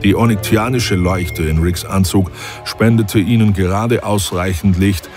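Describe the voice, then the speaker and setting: A man narrating a science fiction audio drama in a calm, measured storytelling manner. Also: low-pitched, music in the background.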